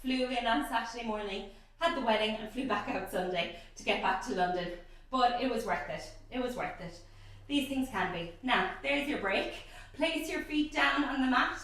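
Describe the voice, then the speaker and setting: A woman with a croaky, dry voice, cueing a Pilates class with steady counts and frequent praise. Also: a little high, very echoey, far from the mic. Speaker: Pilates instructor.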